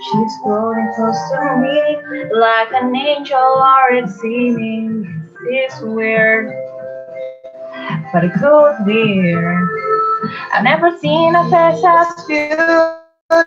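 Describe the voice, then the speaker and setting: A woman's voice: on the high side, slow. A woman playing an impulsive, bewildered young demigod boy in a theatrical table read, earnest and exclamatory. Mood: dreamy wonder. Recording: dull lo-fi audio with music.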